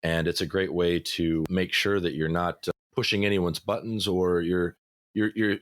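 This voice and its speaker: A man who runs a podcast game, talking in a relaxed way with many fillers on a panel. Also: low voice, no room sound, clean studio sound. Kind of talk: casual panel discussion.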